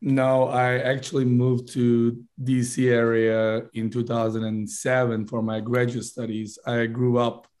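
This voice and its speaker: A man from Turkey, a founder making a fluent business pitch in a podcast interview, correcting himself on the fly. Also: monotone, measured pace, few pauses, darkish tone. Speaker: startup founder guest.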